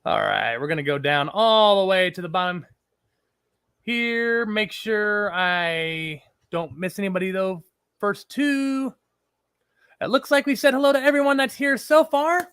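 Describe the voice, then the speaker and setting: A man with a fairly high voice hosts a relaxed live stream, his throat a touch scratchy from a cold. He rambles easily and stops to greet viewers from the chat. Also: a little slow, clear, resonant tone. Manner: chatty, rambling, reads chat aloud. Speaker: livestream host.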